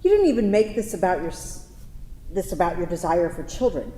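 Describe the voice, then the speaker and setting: Casting: actress as lowish-voiced woman, hurt but defiant with her lover, stage drama read in a reverberant hall. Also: very animated, a little fast, dark tone. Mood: angry. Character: wounded, defiant woman, former psychology student, leaving her lover. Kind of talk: staged reading of a stage play. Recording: echoey hall with faint noise.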